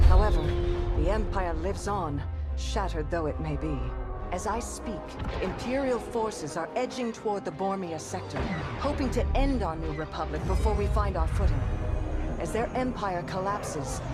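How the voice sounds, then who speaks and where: A woman's voice: mid-range voice, natural voice. An actress playing a resolute woman who leads a new republic, giving a solemn, rousing recruitment speech in a video game. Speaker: narrator. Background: orchestral score.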